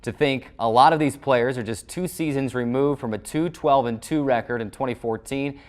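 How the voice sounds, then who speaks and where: A man's voice: mid-range voice, moderate pace. A man hosting a sports show, reading a scripted recap in formal broadcast phrasing.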